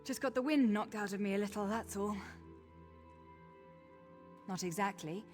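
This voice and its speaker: Woman as a resolute orc priestess, mid-pitched. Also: normal speed, a little mellow, lightly acted. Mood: winded, grateful. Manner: resolute, earnest, reflective. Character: brave, determined orc high priestess, protective and thoughtful. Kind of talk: dramatic video game voice acting. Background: game music.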